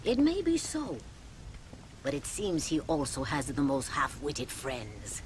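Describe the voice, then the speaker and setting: A wry older nun. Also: female voice, low-pitched, huge pitch swings, a little mellow, slight background noise, heavily acted. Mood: dry. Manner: wry, measured, sardonic. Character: dry-witted older mother superior nun. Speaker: convent head in a video game cutscene. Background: game score music.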